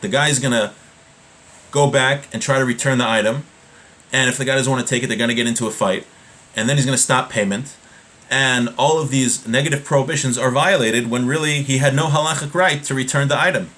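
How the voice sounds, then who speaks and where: A man's voice: medium pitch, steady tone, fairly quick, a bit bright. A man in his thirties teaching from a webcam in a small home room, reading Hebrew passages aloud and explaining each one in casual English. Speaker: Torah teacher. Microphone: webcam at desk.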